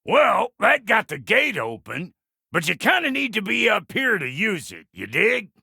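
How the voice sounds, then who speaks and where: Game casting: gruff dwarf smith, very gravelly and piercingly sharp, folksy, impatient, irreverent. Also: male voice, high voice, wildly swooping pitch, a little slow, no room sound, studio quality, heavily acted. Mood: brisk, hollering. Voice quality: gravelly, raspy. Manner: folksy, irreverent, colloquial grammar. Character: gruff, foul-mouthed, impatient dwarf blacksmith, a man. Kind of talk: video game character dialogue.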